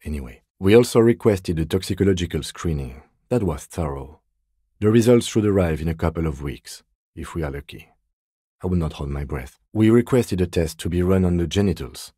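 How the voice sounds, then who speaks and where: A man playing a composed, meticulous police lieutenant detective in a video game, speaking in a precise, deadpan, politely reserved way. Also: deep voice, fairly quick, breathy edge, no room sound, clean studio sound. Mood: dry, matter-of-fact. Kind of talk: video game character dialogue.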